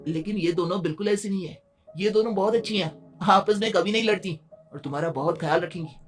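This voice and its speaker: High-voiced, helpful young friend played by a man. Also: a little fast, over music, lightly acted. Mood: persuasive. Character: concerned, confident young male friend offering help. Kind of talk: Hindi moral-story cartoon dubbing.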